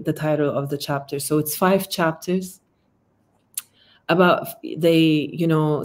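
Reflective Arabic-accented woman, low mellow voice. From Palestinian, based in Amman. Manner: reflective, searching for words, restarts. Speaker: interview guest, artist. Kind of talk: casual conversation. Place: home room, video call. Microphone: laptop mic on video call.

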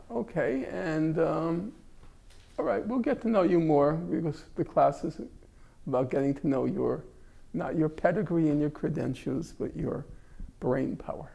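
Gravelly wry older man teaching. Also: on the high side, warm tone. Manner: wry, joking, self-mocking. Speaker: class instructor. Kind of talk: casual classroom talk. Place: library room with bookshelves.

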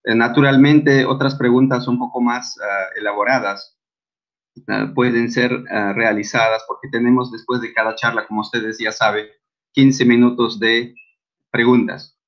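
A man's voice: medium pitch, steady tone, moderate pace. A man hosting an online lecture series, introducing a speaker hesitantly with many fillers. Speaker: session host. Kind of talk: formal introduction. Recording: dull lo-fi video call audio.

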